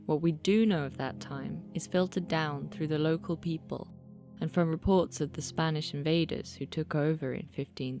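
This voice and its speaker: A woman gives scripted travel-film narration in a calm, even voice. Her pitch sits quite low. Faint music plays under the voice-over. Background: soft music.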